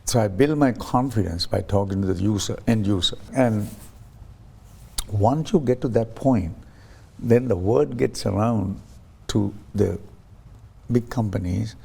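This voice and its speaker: A man's voice: fairly low pitch, measured pace, gravelly, long pauses, darkish tone, slightly rough. A man interviewed as a guest on a talk show, explaining his ideas through stories and acting out quoted voices, with frequent restarts. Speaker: guest, science ambassador.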